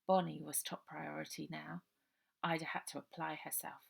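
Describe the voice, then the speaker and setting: Female storyteller for middle-grade fiction, lowish pitch with a creaky edge. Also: flat delivery, moderate pace. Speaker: author reading own novel aloud. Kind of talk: children's story narration, unedited.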